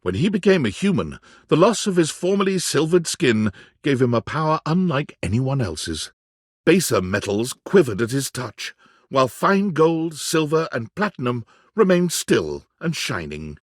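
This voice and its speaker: A man reading scripted second-person game narration in a theatrical, wry storytelling manner with dramatic pauses. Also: on the high side, big pitch swings, dry recording, clean studio sound.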